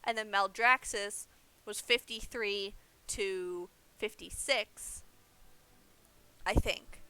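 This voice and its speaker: A woman's voice: mid-range voice, slow, pushed and bright, clean tone. A young woman giving casual livestream game commentary, explaining things informally as she plays. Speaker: game streamer.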